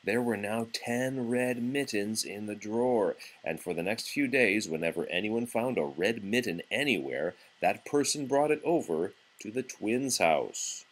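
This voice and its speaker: Lively, low-voiced man reading a storybook. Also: unhurried, few pauses, rough recording. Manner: expressive, patient, encouraging with the child. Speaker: parent reading a picture book aloud to a young child. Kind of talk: storybook read-aloud.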